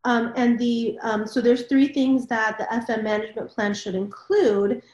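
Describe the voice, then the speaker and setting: A woman with a slightly high voice, recorded in a roomy, echoing space, moderates a technical discussion. She reads formal requirements aloud and puts questions to the panel. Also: steady tone, moderate pace, darkish tone, dull audio.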